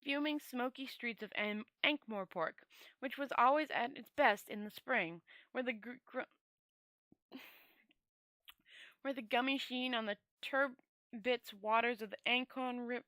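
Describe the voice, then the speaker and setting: A woman's voice: on the high side, a little slow, long pauses, sharp tone, no echo. A woman storyteller reading a fantasy novel aloud expressively, often stumbling over words and rereading phrases.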